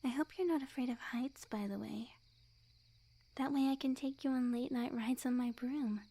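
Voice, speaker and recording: A woman voicing a sweet, obsessively lovestruck, smug young witch in an intimate ASMR roleplay, speaking in a soft breathy near-whisper with quiet menace. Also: sits high, normal speed. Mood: possessive, doting. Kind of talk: ASMR roleplay, intimate scripted monologue to the listener.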